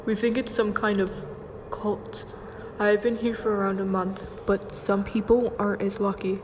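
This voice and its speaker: A woman's voice: mid-range voice, level tone, normal speed, a little mellow, a little hiss or hum, close to an everyday voice. A young woman playing a resigned captive who explains grim things in a matter-of-fact way, in an amateur radio drama. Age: young adult.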